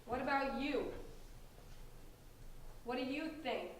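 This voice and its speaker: A high-voiced, bratty city kid played by a woman sneers with skeptical scorn in a stage drama. The voice is distant and noisy in a very reverberant room. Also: slow pace. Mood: scornful. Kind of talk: staged play reading.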